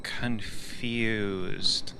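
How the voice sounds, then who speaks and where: Man, bright voice, leisurely pace, casual game banter, faint background noise. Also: fairly low pitch, fairly even intonation, extremely slow. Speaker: tabletop game player.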